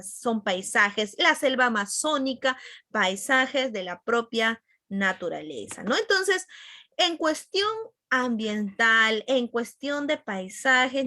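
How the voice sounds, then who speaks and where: A woman's voice: a little high, pushed and bright, no echo, studio quality. A woman with a Peruvian accent teaching a class conversationally, repeating key points and checking in with her listeners. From Peru.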